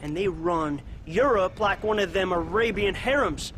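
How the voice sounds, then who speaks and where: An actor playing a paranoid, bigoted old shopkeeper in a western game, drawling slowly and sneering. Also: male voice, high voice, fairly bright tone, a little hiss or hum. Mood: smug. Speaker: general store owner.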